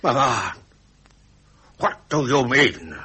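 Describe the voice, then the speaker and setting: An actor playing a wounded, resentful middle-aged British prince in a radio drama, formal and pained. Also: male voice, mid-range voice, lively intonation, slow pace, pushed and bright, breathy edge, heavily acted. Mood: shocked, hurt.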